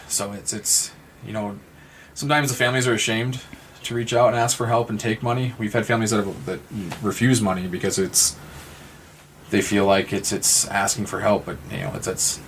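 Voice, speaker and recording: A man's voice: on the low side, fairly bright tone. A man talking informally and jokingly as a podcast guest from a non-profit. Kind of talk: casual chat. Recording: noticeable background ambience noise.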